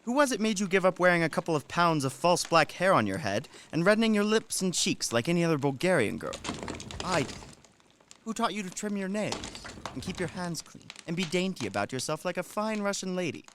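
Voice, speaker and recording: Male actor as scheming servant, self-important, emphatic, lecturing, very animated pitch, radio drama. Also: fairly quick, a little hiss or hum. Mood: proud, boastful. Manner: wheedling, instructing, emphatic. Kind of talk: radio play stage acting.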